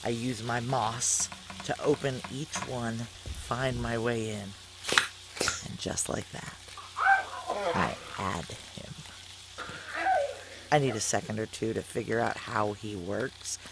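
Tough female soldier, sci-fi audio drama, unhurried deliberate narration, exultant intensity, loud sizzling behind the voice. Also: very deep voice, fairly even intonation, heavy background noise, exaggerated voice. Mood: cold resolve. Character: tough woman soldier merged with alien moss, awed and exultant.

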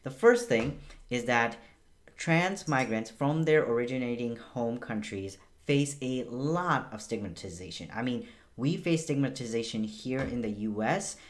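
Young man from Bangladesh, physician vlogger, casual and earnest, mid-pitched voice, small home room. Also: animated, measured pace. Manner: casual, chatty and earnest, with emphatic repeats. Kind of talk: educational health vlog.

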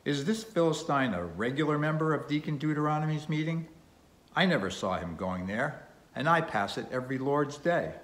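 A man's voice: on the high side, expressive, normal speed, fairly bright tone, echoey room, pitched above the performer's own voice. A man voicing a stern, pious old Quaker ship owner with a hollow voice and archaic speech, in an audiobook. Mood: incredulous. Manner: archaic thee-and-thou speech.

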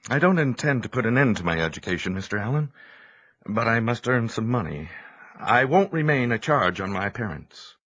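An actor playing an earnest, determined young farm lad who longs to be a scholar, in an audio drama. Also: male voice, medium pitch, very animated, normal speed, a bit bright, muffled recording. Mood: earnest, resolute. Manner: earnest, emotive. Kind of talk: audio drama acting and first-person narration.

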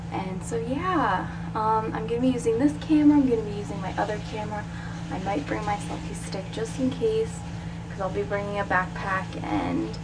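A woman's voice: mid-range voice, animated, a little fast, recorded from a distance. A young woman chatting casually to a handheld camera in a hotel room, narrating her plans for the day. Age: young adult. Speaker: vlogger. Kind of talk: casual vlog chat. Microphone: handheld camera at arm's length. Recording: camera audio with background noise.

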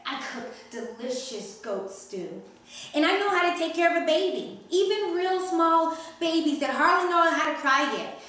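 A woman's voice: very high voice, expressive, normal speed, a bit bright. A woman reading the part of an eager, chatty, artless twelve-year-old Trinidadian girl in a staged play reading. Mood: boastful. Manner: artless, boastful, giggly. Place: wood-paneled mansion room. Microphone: distant camera microphone. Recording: very echoey room, distant mic, faint noise.

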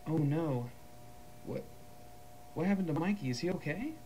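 Man playing scared young witness, horror found-footage, mellow highish voice, hesitant, hushed, faint noise. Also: lively intonation, normal speed. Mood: shocked. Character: frightened, disbelieving boy witness, friend of the missing.

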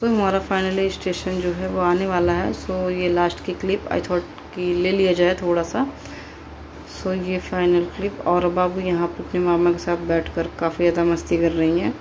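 A woman's voice: on the low side, flat delivery, normal speed, noisy background. Chatty mother narrating a train trip. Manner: chatty, loose, mixes in English words and fillers. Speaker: travel vlogger and mother. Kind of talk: casual travel vlog narration.

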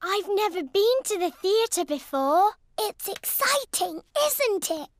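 A performer voicing an excited little animal child from a cartoon playgroup. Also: very high voice, takes it slowly, no room sound, studio quality, exaggerated voice.

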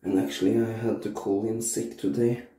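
A man in his thirties with a cold and a stuffy nose, reviewing shaving soap casually in his bathroom while shaving on camera. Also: on the low side. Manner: slow, relaxed, frequent uh and um pauses. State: congested from a cold, sore throat. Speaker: shaving vlogger. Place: small bathroom indoors. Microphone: camera at arm's length. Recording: echoey bathroom.

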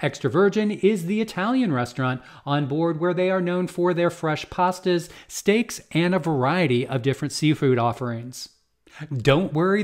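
A man in his fifties with an American accent presents an explanatory guide as a vlogger, in measured steps with emphatic repeats, close to a desktop microphone in a small home studio. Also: a little high. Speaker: cruise travel vlogger and agent. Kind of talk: explanatory talk to camera, semi-scripted guide. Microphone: close desktop condenser microphone.

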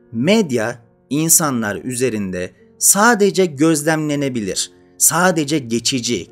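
A man, hosting a conversational educational talk on social science with emphatic phrasing. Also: mid-range voice. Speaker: host of a social science channel. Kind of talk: conversational educational lecture to camera. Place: indoor studio with dark curtain backdrop.

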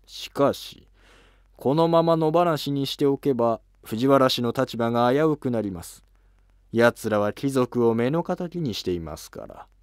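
A man voicing an ambitious, deferential court noble in an animated historical drama, speaking formally and urgently. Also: medium pitch, measured pace, clear, resonant tone, no room sound, studio quality, lightly acted. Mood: urgent, conspiratorial.

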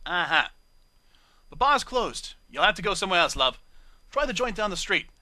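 A man voicing a rough, blunt female bar pony with a British accent in a storybook reading. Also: high voice, sing-song, normal speed, bright, forceful tone, dry recording, voice pushed up, full character voice.

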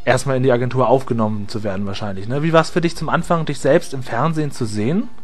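A man's voice: mid-range voice, fast. A man hosting an interview show in an informal, jokey way. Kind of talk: interview moderation. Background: music.

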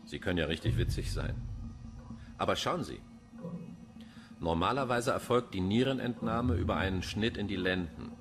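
An actor playing a cynical, erudite middle-aged police pathologist in a German radio crime drama, wordy and dryly pedantic. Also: male voice, low voice, moderate pace, long pauses, over music, natural voice. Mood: darkly amused. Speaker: forensic pathologist character.

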